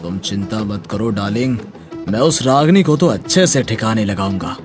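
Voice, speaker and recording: A man's voice: on the low side, lively intonation, moderate pace, music in the background, natural voice. An actor playing a thuggish, boastful and menacing village villain, devoted to his lover, in a dramatized moral story. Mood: boastful reassurance.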